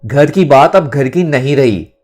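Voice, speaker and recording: A man acts out a young woman in an audio novel who stands up for herself. The delivery is firm and outspoken, with animated pitch. Soft music sits behind the voice. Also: measured pace. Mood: defiant. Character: defiant young woman standing up to family patriarch.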